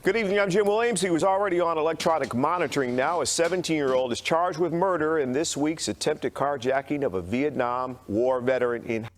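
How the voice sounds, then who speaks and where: A man's voice: fairly high pitch, fairly quick, hardly any pauses. A man anchoring a television newscast, reading scripted news.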